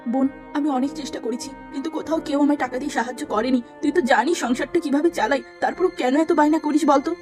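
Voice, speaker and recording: A woman's voice: high voice, quick pace, hardly any pauses, darkish tone, soft background music, natural voice. Woman as a plaintive, humble elder sister. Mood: hurt. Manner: plaintive, pleading. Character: humble, long-suffering poor elder sister, gentle young woman.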